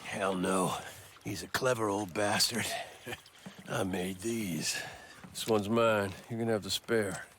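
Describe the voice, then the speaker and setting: A man's voice: on the low side, steady tone, takes it slowly, a bit bright. A man playing a jovial, salty older American outdoorsman in a Western video game, folksy and hearty. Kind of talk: dramatic video game acting. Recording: game audio with clear background noise.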